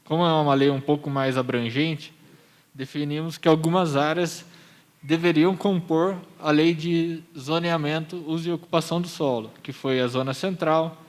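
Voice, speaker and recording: Brazilian man presenting, higher-pitched voice. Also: steady tone, moderate pace. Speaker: municipal planning presenter. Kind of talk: explanatory public hearing presentation.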